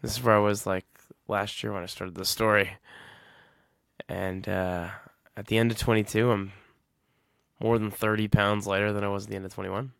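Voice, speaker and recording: Gravelly low-voiced young man musing. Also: little pitch movement, moderate pace, fairly bright tone, no room sound, clean studio sound. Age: 20s. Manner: rambling, casual, self-correcting. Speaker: podcast host. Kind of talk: reflective solo podcast monologue.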